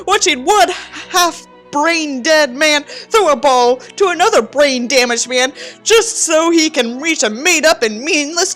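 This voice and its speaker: High, animated, contemptuous young storybook narrator, played by a woman, self-pitying and theatrical. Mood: sneering. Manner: melodramatic, sneering. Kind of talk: exaggerated dramatic reading.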